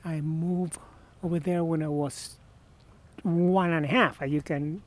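Argentine-accented man in his sixties, animated. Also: fairly high pitch, a little slow. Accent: Argentine Spanish. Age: 60s. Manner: animated storyteller, trailing and restarting phrases. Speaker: interview guest. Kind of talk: casual outdoor interview. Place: outdoors on benches by a marina.

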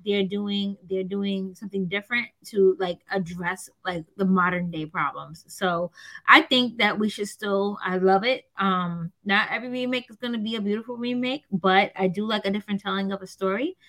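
Guest, woman from Brooklyn, bright voice, chatty and rambling. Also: medium pitch, moderate pace, low-quality recording. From Brooklyn, New York. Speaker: podcast guest. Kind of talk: casual group chat.

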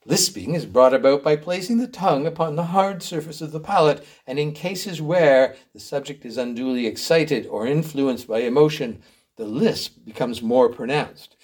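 A pompous author reading out his sappy story, man's voice a bit on the high side. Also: moderate pace, a little mellow, husky. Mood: self-satisfied, pompous. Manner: pompous, sentimental. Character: earnest, sentimental male author reading his melodramatic story. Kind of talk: theatrical literary narration.

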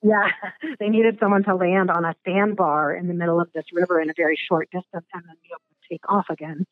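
A woman from Alaska, guest on an interview, talking casually with a raspy voice and many pauses and restarts. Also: fairly low pitch, fairly even intonation. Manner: halting, many ums and restarts. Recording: phone-line sound.

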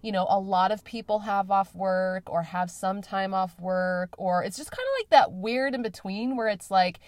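A woman hosting her own podcast in a bubbly, chatty way, her voice a little raspy today. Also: mid-range voice, moderate pace, a little mellow, dry recording. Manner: bubbly and chatty, with many fillers and repetitions. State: slightly raspy voice. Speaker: podcast host. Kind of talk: solo podcast talk.

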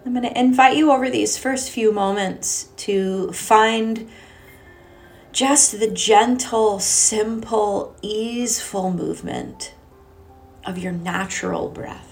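A woman leading a guided meditation as a teacher, speaking in a gentle, soothing, unhurried way with long pauses. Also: mid-range voice, creaky. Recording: soft music behind the voice. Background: music.

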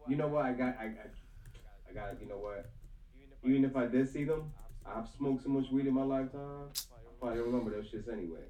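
Loud New man in his fifties. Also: medium pitch, brisk, roomy sound, highs cut off. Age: 50s. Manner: loud, boastful, streetwise slang. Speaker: podcast co-host. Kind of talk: casual chat.

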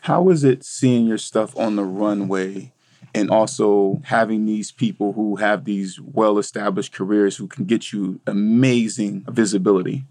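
A man's voice: fairly low pitch, fairly even intonation, unhurried, a little mellow, dry recording. A man hosting a studio interview, talking in a casual, friendly way and calling his guests man and brother. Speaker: host.

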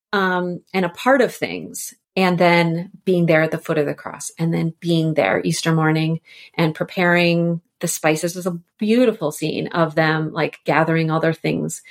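A woman speaking as a podcast guest, explaining literature in a thoughtful, enthusiastic, conversational way. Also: lowish voice. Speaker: interview guest, author and editor.

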